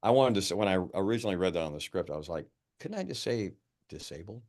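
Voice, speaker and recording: Man, gravelly voice with swooping intonation, casual and blunt discussion style. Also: sits low, animated, quick pace, a little mellow. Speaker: actor in table read.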